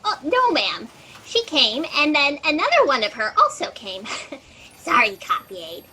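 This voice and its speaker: Audio comedy role: actress as chatty, oblivious female office fact-checker, very high and swoopy. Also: huge pitch swings, unhurried, sharp tone, a little hiss or hum, exaggerated voice, rough recording. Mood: apologetic, chipper. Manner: rambling, oblivious, chirpy. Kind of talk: comedic audio drama acting.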